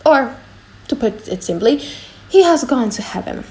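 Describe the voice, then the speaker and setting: A woman's voice: on the high side, expressive, normal speed, a little mellow, natural voice. A woman voicing a mischievous, cocky, chatty young male servant in a dramatized audiobook, teasing and mock-grand.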